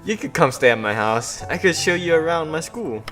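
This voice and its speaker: A man's voice: on the high side, lively intonation, moderate pace, over music, lightly acted. A man reading the part of a caring, devoted girl in a visual novel. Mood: reassuring. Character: devoted, caring girl, the heroine's dearest friend.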